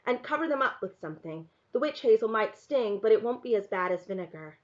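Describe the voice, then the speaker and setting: A woman's voice: mid-range voice, lively intonation, a little fast, natural voice. Woman reading as earnest caring young girl from a novel, patient, practical, a bit muffled. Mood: instructing.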